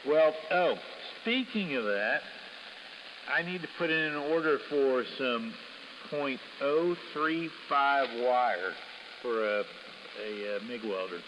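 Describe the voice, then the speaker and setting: A man's voice: mid-range voice, lively intonation, slow. An American man in casual conversation as a CB radio operator, heard over a muffled, noisy radio link. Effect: CB radio transmission.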